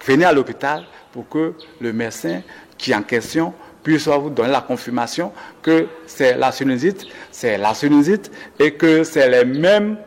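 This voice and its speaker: A man's voice: fairly high pitch, animated, moderate pace, vocal fry. Hesitant male doctor, reverberant sound. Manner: hesitant, many fillers and restarts, advising listeners. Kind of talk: health interview on radio.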